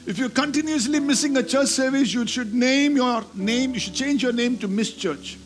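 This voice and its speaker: A man's voice: very high-pitched, moderate pace. A man preaching a sermon, emphatic and repeating key phrases as he teaches from scripture. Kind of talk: sermon with scripture reading and call-and-response.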